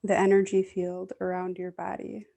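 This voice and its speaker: A woman leading a guided meditation in a gentle, soothing, unhurried way, leaving long pauses. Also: on the low side, completely flat delivery, vocal fry.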